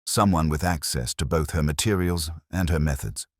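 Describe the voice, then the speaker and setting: A man reads as a calm, shrewd male police inspector in an audiobook mystery. The voice is extremely low and deliberate, quiet and courteous, with a dry sound. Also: no room sound. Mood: quietly certain.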